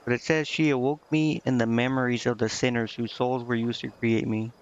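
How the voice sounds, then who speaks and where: A man's voice: mid-range voice, little pitch movement, brisk, clean tone. A man doing casual video game commentary, thinking aloud in a rambling way and stumbling as he reads dialogue out. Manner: rambling, thinking aloud, stumbling over words when reading. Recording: dull, lo-fi microphone sound.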